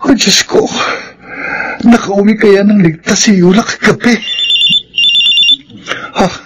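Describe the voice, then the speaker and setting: A man's voice: high voice, steady tone, slightly rough, full character voice. An actor playing an earnest, anxious young male student in love in a Filipino radio drama, hesitant in manner. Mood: alarmed, distraught. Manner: hesitant, halting when nervous. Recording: noisy radio drama track.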